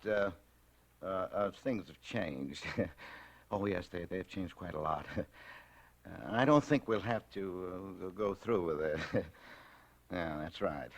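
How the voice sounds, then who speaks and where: A man's voice: lowish voice, a bit bright, heavily acted. An actor playing a timid, flustered, henpecked husband in 1940s radio drama, hesitant and stammering as he talks. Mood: sheepish. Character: timid, henpecked, nervous husband telling his misadventure. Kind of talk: 1940s radio drama acting, first-person narration and dialogue. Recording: vintage radio recording, faint music.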